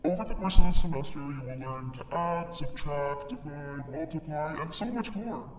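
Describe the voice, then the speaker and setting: Man playing a high, echoing, menacing monster teacher. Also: moderate pace, lo-fi sound, close to an everyday voice. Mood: giddy. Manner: theatrical, swinging from chirpy to threatening. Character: menacing monster schoolteacher, gleefully cruel and theatrical. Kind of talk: video game character acting. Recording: echoey hall, distant, some noise.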